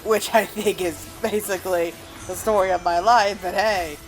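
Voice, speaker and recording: A woman's voice: mid-range voice, fairly even intonation, moderate pace, rough recording. A woman giving casual gameplay commentary, rambling and thinking aloud as she plays. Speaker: gamer, let's play host. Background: game music.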